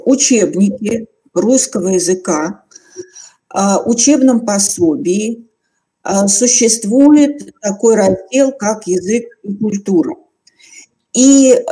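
Female webinar lecturer, formal and halting, unhurried pace, mellow timbre, speaking on a video call. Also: medium pitch, breathy edge, low-quality recording. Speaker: teacher trainer giving a webinar. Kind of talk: online lecture to teachers. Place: indoor room, on a video call.

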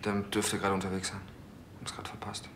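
An actor playing an earnest brewery employee, halting but insistent, in a television crime comedy. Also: male voice, low-pitched, completely flat delivery, a bit bright, echoey room, slight background noise. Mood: earnest, defensive. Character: earnest male brewery employee defending colleague.

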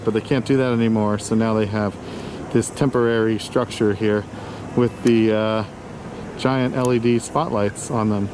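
A man's voice: medium pitch, noisy background. Offhand man giving a walking tour. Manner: rambling, offhand, corrects himself. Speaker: vlog host. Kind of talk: casual walking tour commentary. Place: outdoors at a theme park.

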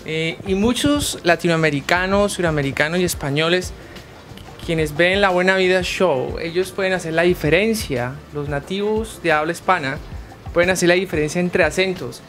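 A young man hosting an interview in a living room, asking slow questions full of restarts. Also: high-pitched, fairly bright tone, soft background music. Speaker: host.

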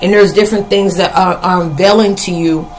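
A middle-aged American woman talking casually to camera in a rambling, conversational monologue with halting asides. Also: on the low side, fairly even intonation, a little hiss or hum, poor audio. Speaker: spiritual vlogger. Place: living room, indoors.

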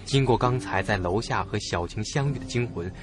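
A man's voice: on the low side, moderate pace, music in the background. A man narrating a suspense story in a dramatic, theatrical audio-drama style. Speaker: first-person narrator of a horror story.

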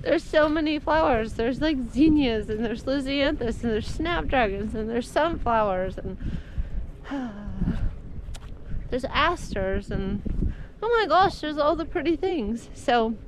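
Woman, 40s, flower farmer, chatty and indecisive, slow talker, handheld camera outdoors, wind noise. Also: high voice, animated. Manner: chatty, indecisive, often laughs and says "like". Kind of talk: casual walking vlog. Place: outdoors on a rural farm in winter. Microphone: handheld wide-angle camera at arm's length.

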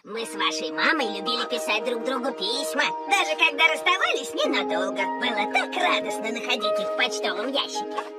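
A woman's voice: on the high side, big pitch swings, brisk, hardly any pauses. An actress playing a kindly, affectionate old grandmother cat in a children's cartoon, with a tender, patient manner. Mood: warm, nostalgic. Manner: tender, doting, storytelling. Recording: music under the voice.

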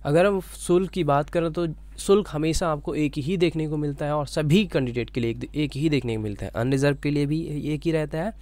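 A man's voice: fairly high pitch, a little fast, hardly any pauses, darkish tone, no room sound. A man teaching informally as an explainer, repeating points and often checking in with short tags. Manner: repetitive, checking in with "okay" tags. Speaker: teacher explaining an exam notification.

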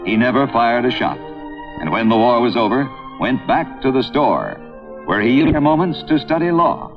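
A man's voice: medium pitch, sing-song, normal speed. A man narrating a scripted 1950s educational film. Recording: muffled old 16mm film soundtrack. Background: music.

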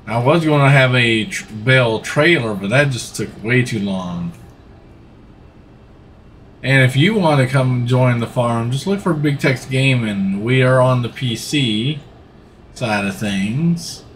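Slow, easygoing man streaming. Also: mid-range voice, animated, fairly bright tone, a little hiss or hum. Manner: easygoing, rambling, talks while playing. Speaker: livestreamer. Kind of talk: casual gaming livestream commentary. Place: home room, seated in a gaming seat.

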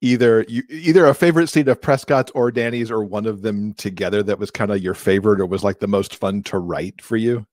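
A man's voice: fairly low pitch, a little fast, few pauses, darkish tone, no room sound. A middle-aged man speaking as an interviewer in a casual, conversational style, close to his microphone. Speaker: podcast co-host, interviewer. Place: indoors, video call from a room. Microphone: large microphone close in front of him.

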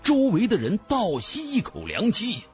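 Man, audiobook narrator, fantasy novel, highly animated pitch, very raspy-breathy tone, background music. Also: unhurried. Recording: music bed under the voice.

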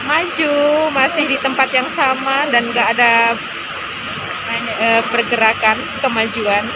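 A woman's voice: high-pitched, flat delivery, takes it slowly, heavy background noise. A young woman chatting casually to her viewers on a phone held at arm's length. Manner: chatty, addresses viewers as guys. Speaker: vlogger. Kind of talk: casual vlog narration. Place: crowded indoor stadium.

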